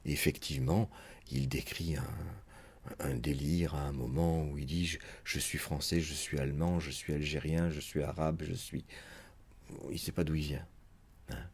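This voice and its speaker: A man's voice: very deep voice, steady tone, moderate pace, darkish tone, slightly rough. A man giving an unscripted spoken lecture as a psychoanalyst, thinking aloud and hesitating with frequent fillers.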